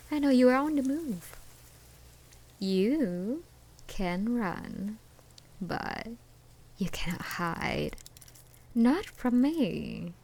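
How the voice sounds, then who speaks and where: A woman's voice: a little high, big pitch swings, exaggerated voice. A woman playing an obsessive, menacing jilted ex-girlfriend in an intimate ASMR roleplay, drawing out her taunts. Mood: menacing, obsessed. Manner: slow, menacing, drawn-out taunting with dark chuckles and whispers.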